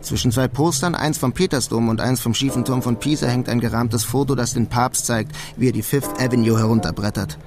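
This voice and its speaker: A man voices a thief narrating his heist in a radio crime play. The mid-pitched voice is wry, deadpan and measured, with soft music underneath. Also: close to an everyday voice.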